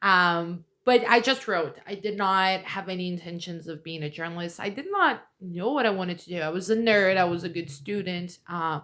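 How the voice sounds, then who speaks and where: A woman's voice: on the low side, moderate pace, few pauses, fairly bright tone. A woman from Brazil with a light Brazilian accent, a native Portuguese speaker, chatting casually as an interview guest in a self-deprecating way, often laughing. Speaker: guest journalist.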